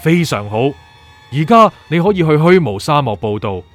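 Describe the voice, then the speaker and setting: A man's voice: on the high side, big pitch swings, normal speed, a bit bright, soft background music. A man playing a stern, authoritative superior officer who gives terse orders in a radio drama. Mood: satisfied, ordering. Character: stern, commanding male superior of soul prosecutors. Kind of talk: Cantonese radio drama acting.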